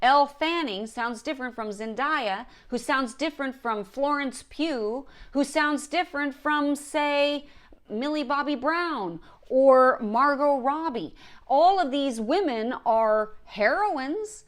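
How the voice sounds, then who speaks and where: A woman giving an instructional talk as a writing coach, stressing her points deliberately as she lists them. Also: high-pitched. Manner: emphatic, deliberate listing of points. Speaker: writing coach and show host.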